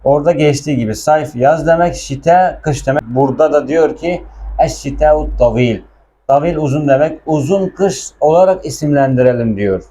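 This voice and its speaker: Mellow-voiced man teaching. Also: on the high side, moderate pace. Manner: informal, repeats and explains words patiently. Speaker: Arabic language teacher. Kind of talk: explanatory language lesson.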